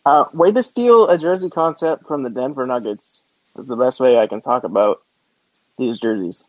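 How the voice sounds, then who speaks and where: A man's voice: medium pitch, normal speed, dry recording. Dry, muffled man co-hosting a podcast. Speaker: podcast co-host. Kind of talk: casual, opinionated sports chat. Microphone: remote call.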